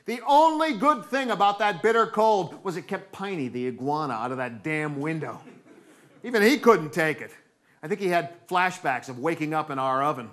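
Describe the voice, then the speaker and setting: A man's voice: a little high, lively intonation, moderate pace, fairly bright tone. A middle-aged American man performing theatrical comic storytelling on stage, acting out moments with dramatic delivery. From New England, United States.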